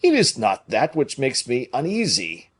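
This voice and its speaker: A man reading an audiobook, voicing a big, blustering, naive but good-hearted nobleman with loud, emphatic exclamations. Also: mid-range voice, wildly swooping pitch, unhurried, slightly rough, voice pushed up, exaggerated voice. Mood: dismayed, blustering.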